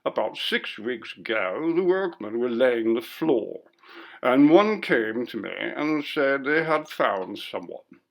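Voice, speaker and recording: A man's voice: mid-range voice, big pitch swings, slow pace, vocal fry, full character voice. A man voicing a pompous, curt college bursar in a ghost story reading, with a disapproving manner. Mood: grave recounting.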